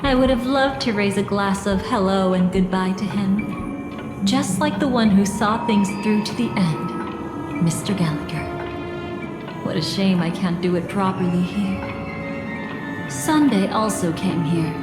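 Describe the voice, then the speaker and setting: An actress playing a poised, wistful storyteller in a video game, narrating bittersweet memories in a measured, elegant, reverberant voice. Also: female voice, medium pitch, over loud music, natural voice. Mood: wistful, reflective. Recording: heavy echoing hall reverb.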